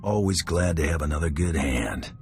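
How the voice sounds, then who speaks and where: A man playing a cocky male bounty hunter in a video game, with a gruff voice and a dry, sardonic manner. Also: a bass voice, expressive, normal speed. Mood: matter-of-fact. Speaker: player character in a video game. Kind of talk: video game cutscene acting. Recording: music behind the voice.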